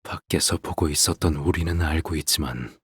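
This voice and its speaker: A cold yet tender middle-aged villain father from a game. His very breathy, husky voice sits low, and he talks softly and with measured condescension. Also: heavily acted. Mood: cruelly gentle. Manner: measured, soft-spoken, condescending, trailing into breathy near-whispers. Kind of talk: theatrical scripted game voice acting.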